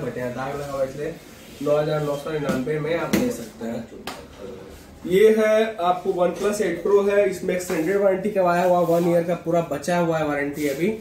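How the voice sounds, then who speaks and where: A man gives a matter-of-fact sales pitch as a shop seller, listing products and mixing in English words. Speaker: second-hand phone shop seller. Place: small indoor phone shop. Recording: echoey room, clear background noise.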